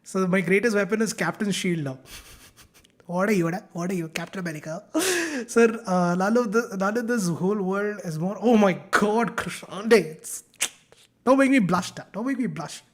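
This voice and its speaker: A man's voice: sits high, moderate pace. A young man from India teaching a live online science class, chatty and upbeat, bantering with his students. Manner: upbeat, banters with students, code-switching slang.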